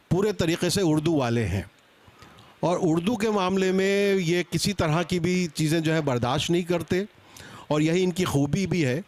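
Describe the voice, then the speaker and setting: A man moderating a panel, speaking off the cuff in a conversational way with pauses and restarts as he introduces guests. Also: fairly high pitch. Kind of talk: extempore seminar introductions. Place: public seminar at a book event.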